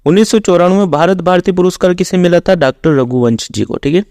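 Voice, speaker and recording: A man's voice: high-pitched, fast, darkish tone, dry recording, clean studio sound. A man working as an exam-prep teacher, reading out quiz questions and explaining the answers in an instructional, routine way.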